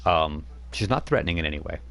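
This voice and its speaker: A man's voice: low voice, expressive, quick pace, creaky, a bit bright. A man running a tabletop role-playing game as game master, narrating in a rambling way with many fillers.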